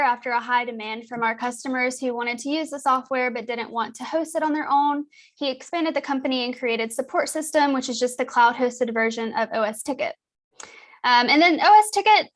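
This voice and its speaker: A woman joins a live stream over a video call and explains things in an upbeat, friendly way. Her voice is notably high. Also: fairly bright tone. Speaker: guest from a software company. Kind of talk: casual live-stream introduction.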